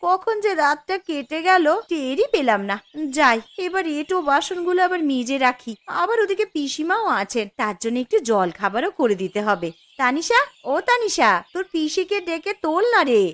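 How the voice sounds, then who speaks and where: A woman's voice: high voice, big pitch swings, moderate pace, fairly bright tone. A woman voicing an anxious young village wife and mother in a Bengali cartoon, with a Bengali accent. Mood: busy.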